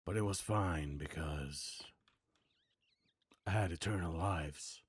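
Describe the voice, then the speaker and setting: A man's voice: low-pitched, takes it slowly, husky, full character voice. A man voicing a weary, grim hardboiled noir gunman who narrates his own story in terse, brooding lines, as in a video game. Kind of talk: noir game monologue, voice acting practice.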